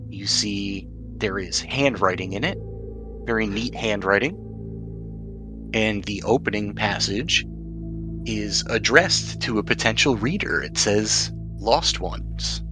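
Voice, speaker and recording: A man acting as game master, describing scenes for a tabletop roleplaying game in a conversational way. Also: fairly low pitch.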